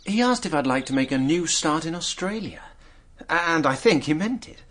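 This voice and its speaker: Rueful British drinker, very animated intonation. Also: male voice, a little high, moderate pace. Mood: wry. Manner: wry, hesitant, rueful. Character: hard-up, self-mocking male drinker under questioning. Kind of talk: British radio drama acting.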